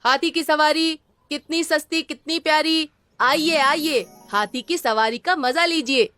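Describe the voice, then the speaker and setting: An earnest young village boy played by a woman, the dutiful big brother of a cartoon story. The voice is high and youthful, set over background music. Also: a little slow, sharp tone, no echo. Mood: cheerful, proud. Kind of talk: children's cartoon story voice acting.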